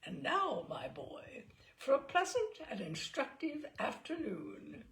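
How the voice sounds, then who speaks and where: A woman's voice: sits high, huge pitch swings, takes it slowly, fairly bright tone, pitched above the performer's own voice, heavily acted. A woman voicing a mischievous English lord of about sixty, grand and leisurely, relishing his words, in a storybook reading. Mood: breezy, grand. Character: jaunty, mischievous sixty-ish English lord, an incorrigible uncle.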